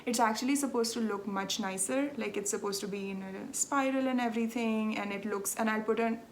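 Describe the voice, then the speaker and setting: A woman's voice: fairly high pitch, fairly quick, a little hiss or hum. A young woman from South India, a Telugu speaker with an Indian accent, chatting casually as a podcast host, explaining things patiently and laughing at herself. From South India, Andhra Pradesh. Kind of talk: casual chat.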